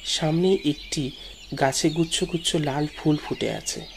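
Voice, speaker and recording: A man's voice: a little high, a little slow, gravelly, noisy background. A man narrating a ghost story dramatically in the first person, as a storyteller. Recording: music behind the voice.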